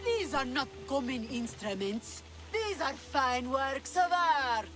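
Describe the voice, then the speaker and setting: A woman's voice: high-pitched, animated, slow, fairly bright tone, faint music behind, heavily acted. A woman playing a sly, commanding pirate leader in a video game, with theatrical delivery. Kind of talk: video game cutscene acting.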